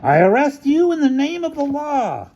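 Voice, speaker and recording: A man voicing an indignant, hot-headed police sergeant in a storybook, shrill, emphatic and accusing. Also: very animated, moderate pace, a little mellow, muffled recording, heavily acted.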